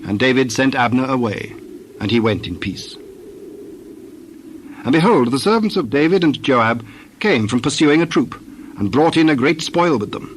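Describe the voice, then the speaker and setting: Male narrator for scripture: mid-pitched, solemn, measured phrasing, clear background noise. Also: animated.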